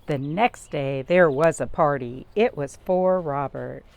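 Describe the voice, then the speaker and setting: Low-voiced woman hosting children's storytime. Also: a little slow, creaky, darkish tone, a little hiss or hum. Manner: simple, repetitive phrasing with questions to young listeners. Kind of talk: read-aloud storytelling and craft talk for young children.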